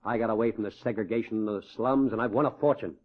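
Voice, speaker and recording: Husky, low-voiced, domineering father played by a man. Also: fast, natural voice. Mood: exasperated. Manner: scornful, forceful. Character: bitter, wealthy, domineering father. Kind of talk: radio drama acting. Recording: muffled old-time radio recording.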